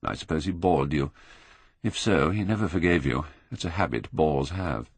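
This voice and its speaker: Man as a drawling, deep-voiced British aristocrat. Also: normal speed, slightly rough, dry recording, exaggerated voice. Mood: musing, wry. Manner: drawling, epigrammatic, blasé. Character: languid, witty, cynical Victorian aristocrat, older gentleman.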